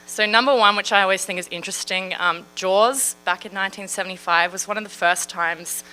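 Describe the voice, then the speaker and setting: A woman's voice: mid-range voice, steady tone, brisk, sharp tone, clear, resonant tone, rough recording. An Australian woman in her thirties, a marine scientist giving a friendly, chatty public science talk with frequent fillers. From Western Australia. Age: 30s.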